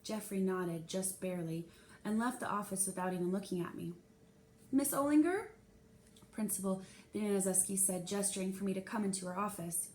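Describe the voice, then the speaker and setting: A woman's voice: mid-range voice, steady tone, brisk, darkish tone. A woman reading a children's novel aloud as a first-person storyteller, dramatizing the young narrator's feelings expressively. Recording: echoey room with faint hiss.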